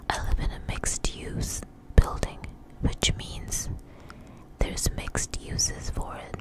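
A woman telling a personal story in a close, breathy ASMR whisper, with halting, deliberate phrasing. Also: low-quality recording. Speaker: ASMR channel host. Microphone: close microphone.

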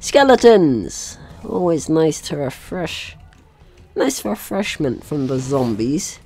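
A man's voice: on the high side, sing-song, takes it slowly, vocal fry, darkish tone, husky. A man giving casual let's play commentary, chatty and jokey, talking to himself and the game's enemies. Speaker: gaming channel host.

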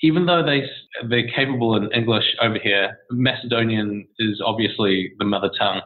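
A man's voice: fairly low pitch, brisk. A man, a startup co-founder giving long, easygoing interview answers with frequent restarts. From New Zealand. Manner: relaxed and discursive, with many restarts and fillers. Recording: tinny phone-like line.

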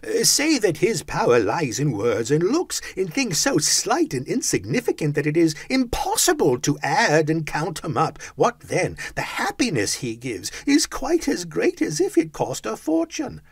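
Casting: a man voicing a stubborn old miser, defensive, husky and lively in pitch. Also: a little slow, few pauses, dry recording, clean studio sound, full character voice. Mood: heated. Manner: voice rising high and loud when worked up.

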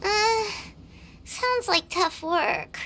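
Squeaky tiny fairy companion. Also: female voice, drawn-out words, bright, forceful tone, slight background noise, heavily acted. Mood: hesitant. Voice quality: squeaky, childlike. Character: tiny childlike female floating companion, naive and curious. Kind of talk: scripted video game dialogue.

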